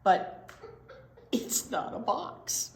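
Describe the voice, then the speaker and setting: A woman hosting a children's storytime, reading aloud in an expressive, exaggerated, playful way. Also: drawn-out words. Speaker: children's storytime host and teacher. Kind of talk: read-aloud to young children. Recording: roomy echo, clear background noise.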